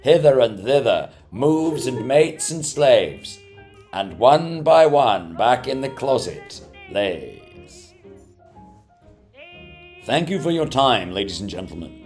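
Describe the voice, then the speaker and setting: Animated, theatrical male radio announcer. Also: mid-range voice, slow, faint music behind.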